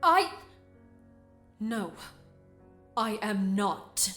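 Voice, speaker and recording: An actress in an audio drama playing a frightened, pious woman accused in Puritan times, halting and fearful. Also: medium pitch, lively intonation, fairly bright tone, faint music behind. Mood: fearful denial.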